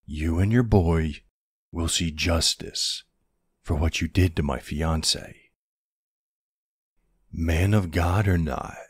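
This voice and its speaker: A man playing a protective, gruff but tender older boyfriend in an ASMR audio roleplay, unhurried and plain-spoken, with a husky, gravelly voice. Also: very deep voice, dry recording, clean studio sound, exaggerated voice. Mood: stern, threatening.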